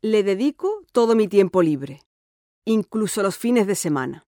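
A confident, sporty teenage girl , voiced by a woman, who talks eagerly about school and books. Her pitch sits in an ordinary middle range. The studio recording is dry and close. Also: expressive, measured pace, dark tone, dry recording, lightly acted. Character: cheerful sporty sixteen-year-old schoolgirl. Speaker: interviewee in an exam listening dialogue. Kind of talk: scripted dialogue for a listening test.

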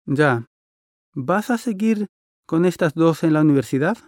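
A man's voice: on the high side, expressive, unhurried, a little mellow, no room sound, studio quality. A man playing a friendly interviewer in a scripted listening-test dialogue, asking polite, curious questions. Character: friendly adult male interviewer.

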